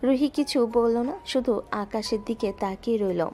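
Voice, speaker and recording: Female narrator, slightly high, calm even read, soft music under. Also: normal speed. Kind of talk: scripted story narration.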